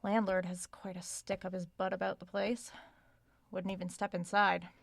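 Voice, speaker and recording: A woman's voice: medium pitch, flat delivery, normal speed, gravelly. A woman playing an inquisitive, polite but stubborn young writer in an audio drama, natural and articulate with a wry touch. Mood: wry, curious.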